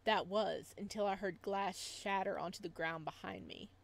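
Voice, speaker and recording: A woman's voice: medium pitch, fairly even intonation, moderate pace, vocal fry. A woman playing through a visual novel, reading it aloud with dramatic narration, occasional stumbles and casual asides. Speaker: video game playthrough host.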